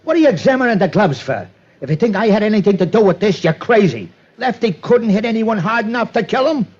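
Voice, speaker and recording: An actor playing a tough, defensive boxing manager with streetwise slang, in 1940s radio drama style. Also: male voice, high-pitched, lively intonation, fast, darkish tone, breathy edge. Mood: indignant, defensive. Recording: dull old radio recording.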